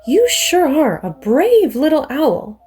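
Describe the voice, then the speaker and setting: A drowsy, dignified great horned owl speaks in slow hoots from a children's storybook, as a woman reads her part. The voice rises and falls a lot. Quiet music plays underneath. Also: high voice, big pitch swings, voice pushed up, full character voice. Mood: curious.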